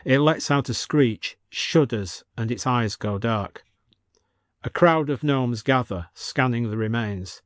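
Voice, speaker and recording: A man's voice: mid-range voice, no room sound, studio quality. A man with a British accent reading a scripted fiction story as narrator.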